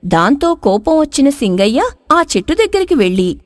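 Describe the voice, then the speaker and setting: A woman storyteller with a high voice and lively, swinging intonation. She narrates a scripted moral tale. The recording is dry and close. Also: normal speed, dry recording.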